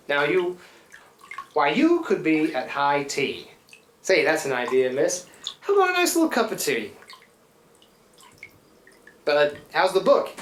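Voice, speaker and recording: A woman playing a scruffy, hard-drinking riverboat man in a radio play, with folksy, rambling, theatrical working-class speech. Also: low-pitched. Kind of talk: live radio play acting. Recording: roomy live stage, watery foley noise.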